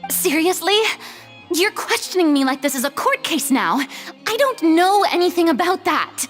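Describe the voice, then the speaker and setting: An actress playing a flamboyant, evasive young female goddess who speaks in a theatrical, defensive, dramatic way, heard as game audio with reverb over soft music. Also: very high voice, very animated, normal speed.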